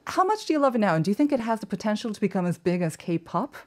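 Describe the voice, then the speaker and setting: A woman hosting a live radio interview in a studio, asking friendly, measured questions. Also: medium pitch, big pitch swings, a little mellow. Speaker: radio host. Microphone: studio microphone.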